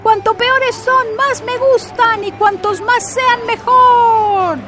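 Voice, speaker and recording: A woman voicing a tiny, grumpy male dream-eating imp in a storybook, with a thin, high, squeaky voice and impatient, sing-song grumbling. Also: fairly even intonation, slow, music in the background. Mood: greedy. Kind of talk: storybook character voice.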